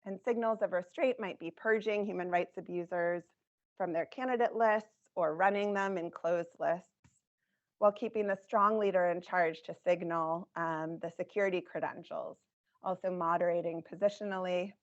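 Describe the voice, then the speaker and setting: A woman with a lowish, scratchy voice walks listeners through her research in a measured, explanatory way, pausing on frequent ums. The recording sounds dull and lo-fi. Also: steady tone. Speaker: academic speaker presenting research. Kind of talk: academic talk.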